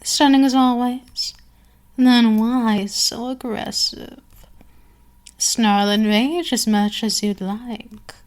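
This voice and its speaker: A woman performs an imperious female vampire queen in an intimate ASMR audio roleplay. Her voice sits fairly high and moves very slowly, weighing each phrase. She is seductive, gloating and condescending, dropping at times into a whisper. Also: fairly even intonation, darkish tone. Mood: taunting, smug. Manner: slow, deliberate, condescending, breaking into whispers.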